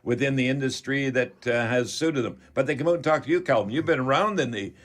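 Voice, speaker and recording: An older man with a Canadian accent speaks as a radio host in a medium-pitched voice. He is chatty and folksy, talking to the audience as if to neighbours. His delivery is that of a home improvement call-in show. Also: fast, a bit bright. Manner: chatty, addresses listeners as folks.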